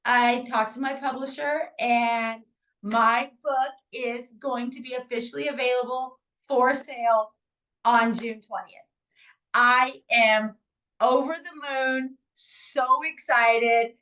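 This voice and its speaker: Woman, forties, raspy and hoarse; upbeat encouraging podcast host, fairly high-pitched. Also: steady tone, takes it slowly, fairly bright tone, poor audio. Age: 40s. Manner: upbeat, encouraging, rambling with fillers. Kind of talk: casual podcast monologue.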